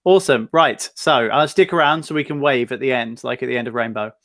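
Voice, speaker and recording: A man's voice: mid-range voice, brisk, fairly bright tone, no room sound, clean studio sound. A young man hosting a casual video-call interview, enthusiastic and chatty, with many fillers and restarts. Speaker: host.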